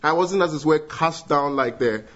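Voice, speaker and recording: A middle-aged man from Ghana with a Ghanaian accent, giving an earnest church testimony and leading prayer, with pauses and restarts. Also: a little high, a bit bright, highs cut off. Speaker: guest speaker giving testimony.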